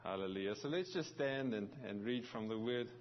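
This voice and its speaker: A man's voice: mid-range voice, expressive, dull audio. A man from South Africa with a South African accent tinged by New Zealand, preaching as a visiting pastor with frequent restarts and fillers. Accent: South African with some New Zealand. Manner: halting, many restarts and fillers. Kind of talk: sermon.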